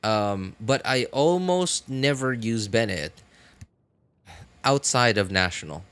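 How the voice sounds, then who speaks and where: A man talking casually on a live game stream in a rambling, halting way, often correcting himself. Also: medium pitch, lively intonation, a bit bright, smooth.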